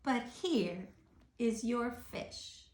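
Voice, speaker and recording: A woman voicing a knowing, admonishing man in a children's storybook, deliberate and instructive. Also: on the high side, animated, reverberant. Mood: firm warning. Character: Mister Carp, knowing, admonishing man who rescues fish.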